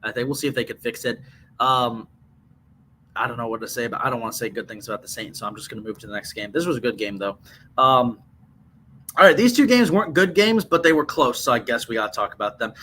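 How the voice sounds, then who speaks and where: A man's voice: medium pitch, expressive, fast, bright, forceful tone, a little hiss or hum, low-quality recording. A man hosting a sports podcast in casual banter, blunt and freely swearing. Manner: profane, blunt.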